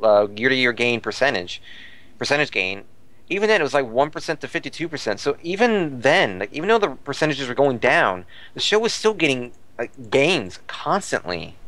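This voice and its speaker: A man's voice: mid-range voice, big pitch swings, moderate pace, a bit bright. Man explaining in detail, casual podcast talk. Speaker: podcast panelist. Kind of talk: casual discussion, reporting figures.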